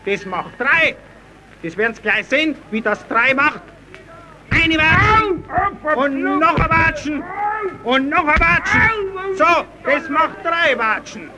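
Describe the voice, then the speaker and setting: An actor playing a cheeky, slow-witted slapstick puppet clown, stubborn and mock-naive, in a 1930s German radio comedy. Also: male voice, extremely high pitch, lively intonation, heavy background noise, heavily acted. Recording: old 1930s radio recording.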